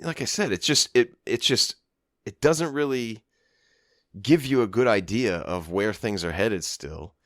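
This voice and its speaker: Deep-voiced rambling podcast host. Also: male voice, a little slow, no echo, clean studio sound. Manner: rambling, restarts and laughs often. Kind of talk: casual chat.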